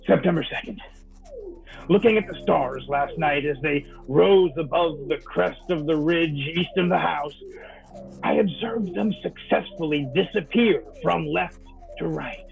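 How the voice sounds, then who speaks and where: Male actor as brooding diarist in an old-time radio chiller: high-set, gravelly voice, halting delivery. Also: dull audio, faint music behind. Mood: eerie, brooding. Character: troubled man recounting uncanny events from his journal. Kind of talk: old-time radio drama monologue, live performance.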